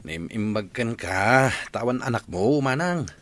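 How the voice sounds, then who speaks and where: A man's voice: mid-range voice, animated, measured pace, fairly bright tone. A man playing an evasive, jobless father in an Ilocano village radio drama, speaking in an emphatic way. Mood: pleading.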